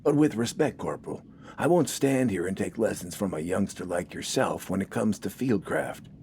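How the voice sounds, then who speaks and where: A man's voice: on the low side, lively intonation, moderate pace, a little mellow, a little hiss or hum, pitched above the performer's own voice. A man voicing a wry, weary older farmer turned militiaman, stubbornly outspoken in a folksy, grumbling way. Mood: weary defiance. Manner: folksy, grumbling, plain-spoken.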